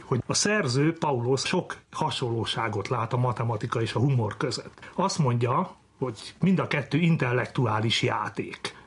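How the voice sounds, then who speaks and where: Husky male professor explaining. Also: medium pitch. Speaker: mathematics professor, interview guest. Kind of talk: explanatory interview talk.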